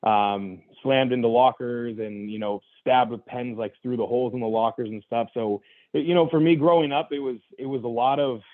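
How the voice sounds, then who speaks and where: A man's voice: mid-range voice, fairly even intonation, normal speed, no room sound. A young adult man, a guest, telling his own story candidly in conversation, earnest and reflective, with many restarts and "you know"s. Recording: tinny phone-like line.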